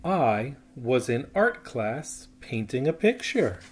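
A man reading a children's picture book aloud in an expressive, emphatic way. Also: medium pitch, takes it slowly, a little hiss or hum. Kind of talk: children's storybook read-aloud. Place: small indoor playroom.

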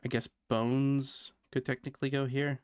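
A man's voice: medium pitch, little pitch movement, normal speed, slight background noise. A man from Canada chatting casually while streaming a building game, thinking aloud and poking fun at himself. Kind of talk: casual gameplay commentary.

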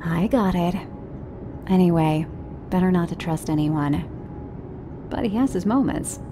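A woman's voice: on the low side, expressive, slow, background noise. A woman playing a cool, pragmatic mercenary team leader in a video game, speaking in a controlled, matter-of-fact way. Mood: wary, frank. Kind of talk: video game cutscene voice acting.

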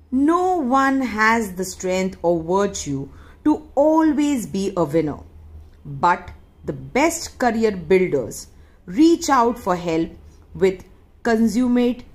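A woman reading a newspaper article aloud in deliberate phrases with frequent pauses. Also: medium pitch. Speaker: audiobook reader.

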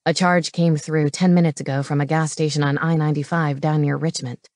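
Woman voicing a low, gruff, brainy man. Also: flat delivery, a little fast, darkish tone, no room sound, studio quality. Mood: focused. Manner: terse, matter-of-fact. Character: gruff, brainy comic-book-fan man, terse and intense. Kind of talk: audiobook character dialogue.

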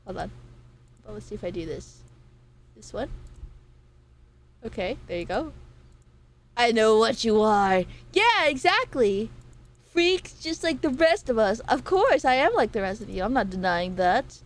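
A fairly high, slightly nasal young woman from California chats playfully with her stream audience. She swears freely and jokes around. She talks into a desk microphone. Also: sing-song, unhurried, long pauses. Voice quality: self-described nasal. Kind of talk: casual chat with viewers.

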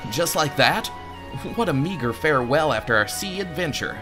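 A man's voice: fairly high pitch, lively intonation, moderate pace, over music. A man voicing an earnest, cheeky young male stowaway hero in an adventure game. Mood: protesting.

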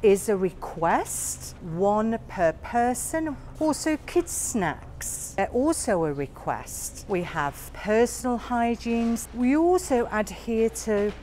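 A woman guiding a warehouse tour, explaining each area in a slow, plain way. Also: a little high, sing-song, mellow, slight background noise. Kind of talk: guided tour narration.

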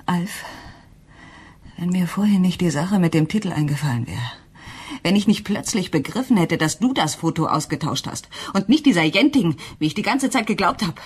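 An actress playing a distraught, accusing wife in a German radio crime drama, with a husky, breathy voice. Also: female voice, mid-range voice.

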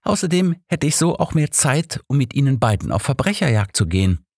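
Man voicing an eager woman, pitched up. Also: sing-song, brisk, a little mellow, slightly rough, dry recording, clean studio sound. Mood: eager, persuasive. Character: eager, kind-hearted, determined woman. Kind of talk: audiobook character dialogue.

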